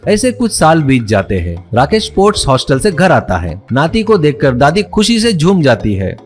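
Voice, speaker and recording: A man narrating a scripted moral story as the storyteller. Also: a little high.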